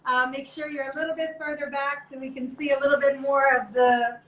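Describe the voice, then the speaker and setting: A woman's voice: sits high, level tone, a little fast, a bit bright. A woman hosting a chatty live online interview in a playful, teasing, enthusiastic way. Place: indoor room, online video call. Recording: echoey room with background noise.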